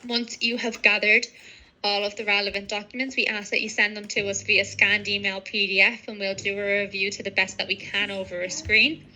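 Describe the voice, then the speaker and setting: A woman's voice: medium pitch, level tone, fairly quick, sharp tone, poor audio. A woman working as a legal adviser, methodically explaining procedures to a client on a professional call. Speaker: legal adviser on citizenship applications.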